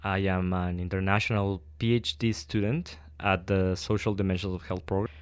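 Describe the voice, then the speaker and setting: A young man from Ecuador, giving thoughtful, hesitant interview answers full of pauses and restarts. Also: deep voice, little pitch movement, smooth, dry recording. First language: Spanish. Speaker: guest, doctoral student.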